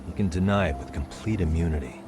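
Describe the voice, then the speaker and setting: Man playing a detective, deep voice, calm, deductive, game cutscene, music behind. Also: quick pace, lightly acted. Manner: level-headed, deductive, calm. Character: calm, sharp adult male detective. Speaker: private detective. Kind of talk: video game cutscene voice acting. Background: background music.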